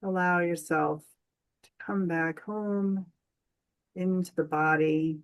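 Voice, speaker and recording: A woman leading a guided meditation in a gentle, soothing, unhurried manner, leaving long pauses between phrases. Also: lowish voice, fairly even intonation.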